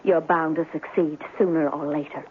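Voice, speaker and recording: An actress in a 1970s radio drama playing a devoted, encouraging lab assistant, earnest and precise. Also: female voice, low-pitched, fairly even intonation, moderate pace, phone-quality sound, close to an everyday voice. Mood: soothing, encouraging. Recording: thin vintage radio with faint noise.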